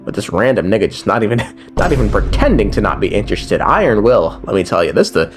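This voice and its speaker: A man's voice: on the low side, sing-song, quick pace, over music. A young man giving a casual, slangy and profane recap commentary as a gaming video creator, joking as he goes.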